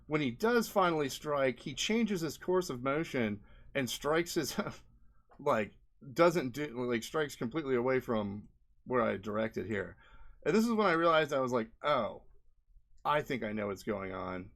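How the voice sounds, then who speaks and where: A man's voice: mid-range voice, lively intonation, a little slow, gravelly, a bit bright. A man giving casual, unscripted commentary as an indie developer presenting a video, rambling and often tagging on "like" and "right?", with an exasperated edge. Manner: rambling, frequent "like" and "right?" tags.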